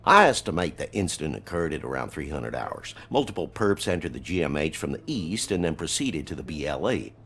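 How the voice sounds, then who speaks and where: An actor playing an eager, self-important security guard in a video game, talking pompously in mimicked police jargon. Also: male voice, rumbling low, sing-song, fairly quick, hardly any pauses, a bit bright, breathy edge, exaggerated voice. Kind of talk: comic video game voice acting.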